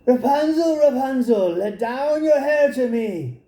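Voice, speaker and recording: A possessive old enchantress from a fairy tale, acted out by a male reader. The voice sits high and stays soft-edged while giving commands like chanted spells. The room echoes around it. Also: expressive, measured pace. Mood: calling, commanding. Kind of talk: storybook character voice.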